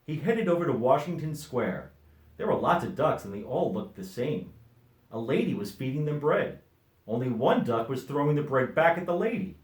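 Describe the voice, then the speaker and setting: A man's voice: mid-range voice, sing-song, moderate pace. Middle aged guy reading a storybook to kids, lots of up and down, echoey room. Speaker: volunteer story reader. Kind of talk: expressive children's storybook narration.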